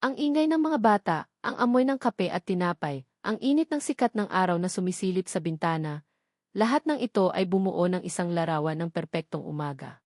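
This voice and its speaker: A woman's voice: on the low side, animated, brisk, darkish tone, no room sound, clean studio sound. A woman reading a scripted dramatic story as narrator.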